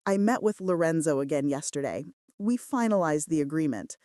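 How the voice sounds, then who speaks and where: A woman's voice: deep voice, animated, normal speed, mellow, no room sound, clean studio sound, voice pushed down. A woman voicing an earnest, devoted male mafia boss in love in a romance audiobook. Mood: earnest, grateful.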